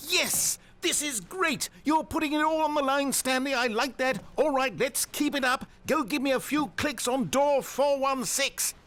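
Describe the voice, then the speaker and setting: An actor playing a pompous, fussy narrator in a video game, goading the player in theatrical, wordy fashion. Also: male voice, very high voice, measured pace, few pauses, a bit bright. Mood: elated, urgent.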